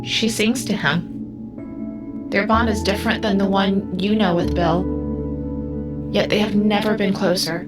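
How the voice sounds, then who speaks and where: An actress playing an otherworldly, protective female guide through time in an audio drama, speaking in a solemn, measured way. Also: medium pitch, natural voice. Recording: music under the voice. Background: music.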